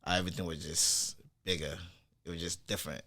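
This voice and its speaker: A man in his twenties from Nigeria, a DJ guest talking in a relaxed, easygoing way with casual slang. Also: low voice, a little fast. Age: 20s. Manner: laid-back, easygoing slang. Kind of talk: casual podcast chat.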